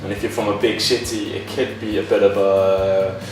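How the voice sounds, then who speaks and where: A man's voice: lowish voice, flat delivery, normal speed. A man from Wales, an English teacher talking in an interview testimonial. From Cardiff, Wales. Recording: very echoey, distant mic, music over it. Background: music.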